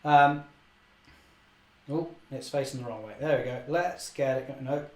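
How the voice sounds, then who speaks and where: A young man, slightly hoarse, chatting cheerfully through a live model railway session and correcting himself often. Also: mid-range voice, unhurried, darkish tone, echoey room. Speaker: model railway channel host. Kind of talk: live-stream running commentary.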